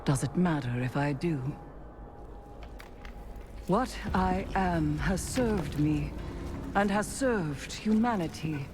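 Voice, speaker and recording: A woman voicing a solemn, vengeful witch turning into a dark god in a video game, speaking with ritual intensity. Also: on the low side, animated, takes it slowly, pauses often, background noise. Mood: grave, resolute. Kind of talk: dramatic video game dialogue.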